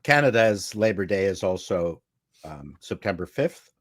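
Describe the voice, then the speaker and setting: A man with a slightly low voice speaks as a guest on a panel show. He talks in a casual, conversational way. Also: lively intonation, unhurried.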